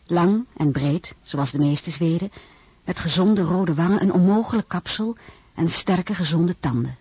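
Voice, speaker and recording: An actress playing an elegant, homesick and imperious French princess in a radio drama, expressive and theatrical. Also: female voice, on the low side, a little slow, breathy edge, no room sound. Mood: reflective, wry. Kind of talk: radio drama acting with first-person narration.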